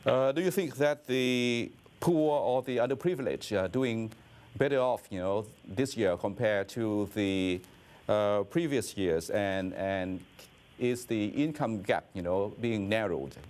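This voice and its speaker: A man working as an interviewer, putting roundabout questions in a halting, conversational way. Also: on the low side, darkish tone. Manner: halting, roundabout questions peppered with "you know".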